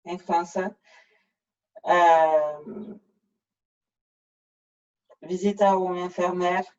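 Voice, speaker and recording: Halting man with a French accent, flat and dark. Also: high-pitched. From France. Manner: halting, frequent restarts. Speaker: workshop presenter. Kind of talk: online presentation. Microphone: video call. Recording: dull lo-fi video call audio.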